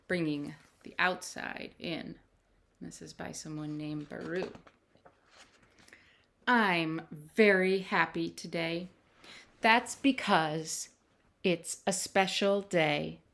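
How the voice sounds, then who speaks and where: A woman hosting a children's storytime from home, reading aloud in an expressive, deliberate, sing-song way. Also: fairly low pitch. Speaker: children's storytime host, librarian. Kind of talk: read-aloud picture book storytelling for young children. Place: at home, indoors.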